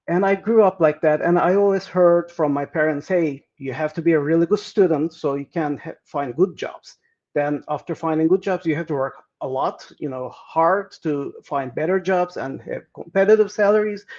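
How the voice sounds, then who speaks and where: A man's voice: a little high, darkish tone. Man on a muffled call. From Turkey. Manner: hesitant, many fillers and restarts, earnest. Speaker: guest. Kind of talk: casual interview answers.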